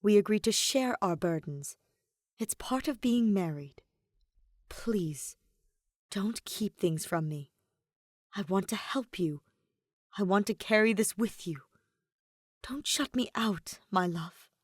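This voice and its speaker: A woman voicing a gentle, quietly strong wife and mother, soft, tender and deliberate in an audio story. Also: medium pitch, animated, dry recording, studio quality. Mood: earnest, determined.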